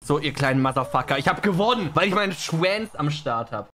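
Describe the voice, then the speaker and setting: Animated, excitable young male gamer. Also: fairly high pitch, a little fast. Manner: excitable, slangy, swearing complainer. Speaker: gaming video host. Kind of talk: casual gameplay commentary with banter. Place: indoors at a gaming setup.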